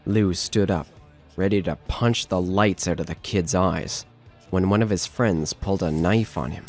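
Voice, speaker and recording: A man's voice: sits low, normal speed, darkish tone, soft background music. A man narrating a scripted horror story as the narrator of an audio drama.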